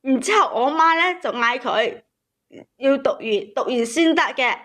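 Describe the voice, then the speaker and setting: High voiced woman phoning in w her personal story, plaintive n kinda aggrieved. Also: moderate pace, fairly bright tone. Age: 30s. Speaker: caller.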